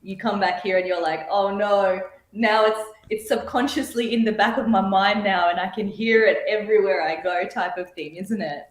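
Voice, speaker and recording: A woman's voice: mid-range voice, normal speed, few pauses, echoey room. A woman hosting a podcast interview over a video call, offering frequent short affirmations and thoughtful follow-up questions. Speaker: podcast host. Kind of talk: casual interview chat.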